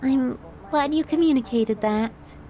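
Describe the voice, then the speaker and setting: A woman's voice: high-pitched, a little slow, warm tone. A woman voicing an obsessive, doting young yandere girlfriend in an ASMR roleplay, with a cutesy, giggly manner and an undertone of menace. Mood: playful, clingy.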